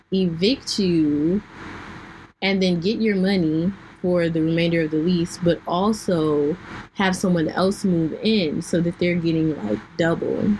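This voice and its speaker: Young woman podcaster, kinda hoarse today, lowish voice, earnest rambling w lots of ums. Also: a little mellow, rough recording. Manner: candid, earnest, many fillers. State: losing her voice, clearing throat. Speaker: podcast host. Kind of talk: personal talk to listeners. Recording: faint background noise.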